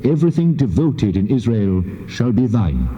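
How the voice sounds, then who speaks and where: A cavernous, echoing God of Israel declaims law in a dramatised Bible recording. The voice is very dark and mellow, solemn and measured, with full authority. Long reverb surrounds every phrase on a muffled tape. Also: male voice, medium pitch, very animated. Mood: solemn, decreeing. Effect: heavy reverb on the voice. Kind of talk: dramatised scripture reading in King James English.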